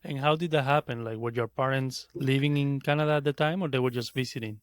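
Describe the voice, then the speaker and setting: A man's voice: medium pitch, quick pace, no echo. Man asking interview questions, dry sound. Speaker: host.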